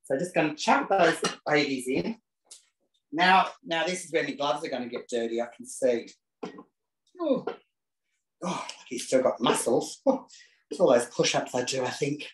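A man's voice: a little high, moderate pace, long pauses. A man presenting a casual live cooking demonstration in a home kitchen, camp and chatty with cheeky asides. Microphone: self-set camera. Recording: roomy kitchen echo.

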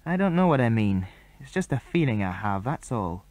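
A man's voice: medium pitch, sing-song, normal speed, darkish tone, no echo, lightly acted. A man with a British accent voicing a sensible, cautious older boy in a children's storybook, firm and matter-of-fact.

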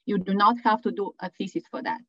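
A woman's voice: medium pitch, fairly even intonation, fast. Lady prof explaining her program, earnest, muffled call sound. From Bulgaria. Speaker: engineering professor. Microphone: video call. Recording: dull video call audio.

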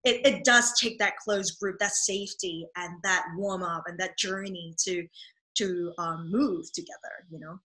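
A woman in her thirties whose first language is Cantonese, a dance therapist answering interview questions over a video call in a thoughtful, halting way. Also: fairly low pitch, fairly even intonation, sharp tone, low-quality recording. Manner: thoughtful, lots of ums and restarts, laughs easily. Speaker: dance movement therapist, guest. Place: small room at home. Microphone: video call webcam mic.

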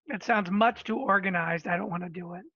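A man's voice: high-pitched, brisk, sharp tone, dry recording. A man speaking as an author on an online panel, in a wry, joking tone. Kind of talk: casual panel chat. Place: home room, video call. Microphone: headset. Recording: dull dry video-call audio.

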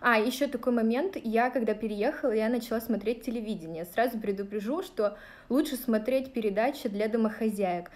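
A woman's voice: a little high, brisk, darkish tone. A woman in her thirties from Russia, chatting casually and directly to her viewers on a livestream, with plenty of fillers, recorded on a phone at arm's length in a small room. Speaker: vlogger answering viewer questions. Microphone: phone held at arm's length.

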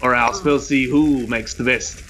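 Man doing a bossy, sharp-voiced gentleman adventurer. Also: medium pitch, steady tone, normal speed, slight background noise, close to an everyday voice. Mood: quietly menacing. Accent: New Zealand. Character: bossy, blustering gentleman adventurer, threatening and brisk.

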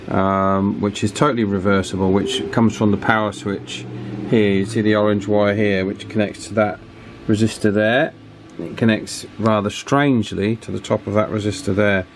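Low-voiced man narrating a repair. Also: steady tone, normal speed, a little hiss or hum. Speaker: radio repair technician and presenter. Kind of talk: hands-on repair tutorial narration. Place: indoors at an electronics workbench.

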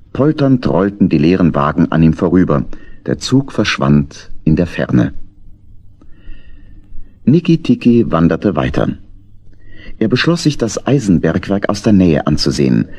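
A man narrates a children's audio play from a script. His voice is low and a little rough. The delivery is animated, rising and falling with the story. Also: unhurried, pauses often.

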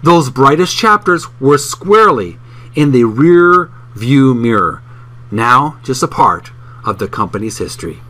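A man's voice: medium pitch, lively intonation, a little slow, sharp tone. A man hosting a channel, reading a book aloud and addressing listeners in a polite, courteous way, sometimes stumbling and correcting himself. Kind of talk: read-aloud narration and channel talk. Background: music.

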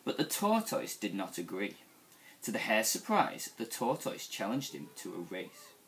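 Animated man reading a children's storybook. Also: fairly low pitch, a little hiss or hum, rough recording. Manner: animated, deliberate storytelling delivery. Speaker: school staff member reading to young children.

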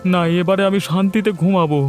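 A man voicing a hot-headed poor villager in a cartoon, speaking in an animated way with music behind. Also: sits high, fairly quick, natural voice. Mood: resolute. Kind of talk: cartoon voice acting.

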